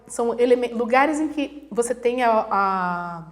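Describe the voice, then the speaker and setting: A woman's voice: fairly high pitch, lively intonation, a little slow. A woman from Brazil, a guest professor, explaining things conversationally and acting out examples in quoted voices. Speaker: guest, international relations professor.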